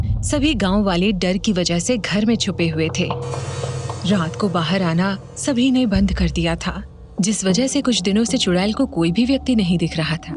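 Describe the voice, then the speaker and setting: A woman storyteller giving a dramatic scripted narration of a horror story. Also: fairly low pitch. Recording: music behind the voice.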